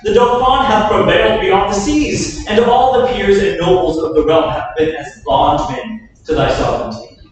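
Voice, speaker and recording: A man's voice: high voice, moderate pace, hardly any pauses, crowd noise, natural voice. Man playing a bold Shakespearean schemer in an echoing hall. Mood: bold, urging. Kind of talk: staged reading of Shakespearean verse. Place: live stage reading in an echoing hall. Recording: very echoey hall from far off, faint noise.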